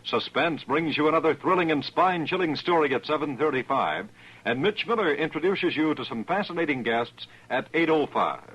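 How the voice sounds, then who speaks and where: A man's voice: fairly high pitch, animated, moderate pace, fairly bright tone, slight background noise. A man with an American accent reading scripted 1950s radio promos as a station announcer. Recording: dull old radio broadcast recording.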